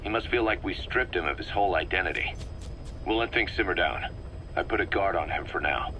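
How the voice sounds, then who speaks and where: Hard, bitter military commander, bright forceful voice. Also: male voice, low-pitched, brisk, faint music behind. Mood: matter-of-fact, frustrated. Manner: terse, accusatory. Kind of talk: dramatic video game voice acting. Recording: slightly noisy cassette tape recording.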